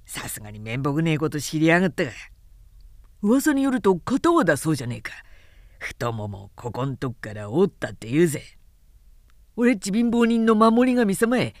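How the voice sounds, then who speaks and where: A woman's voice: low voice, very animated, normal speed, no echo, studio quality. A woman voicing a spiteful male Edo commoner who jeers in mocking, slangy street speech. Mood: gloating, mocking. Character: jeering, spiteful Edo townsman, rough commoner. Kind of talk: dramatic audiobook storytelling.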